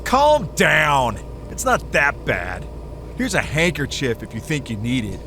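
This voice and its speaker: A man's voice: on the high side, wildly swooping pitch, unhurried, bright, forceful tone, a little hiss or hum, heavily acted. A man playing a cocky, wry, hardboiled hero in a detective audio drama. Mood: commanding. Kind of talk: first-person detective drama narration.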